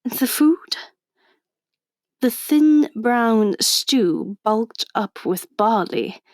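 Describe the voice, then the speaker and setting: A woman's voice: a little high, animated, drawn-out words. A woman playing a wry, motherly undercover agent, confiding as narrator of a scripted audio drama.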